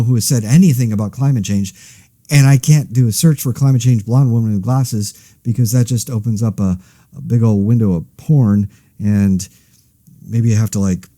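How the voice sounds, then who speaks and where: A man's voice: medium pitch, mellow, no room sound, studio quality, close to an everyday voice. A man playing an exasperated, anxious everyman podcaster in a conversational audio drama, rambling wryly and interrupting himself. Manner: wry, rambling, self-interrupting. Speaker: host of a fictional monologue podcast.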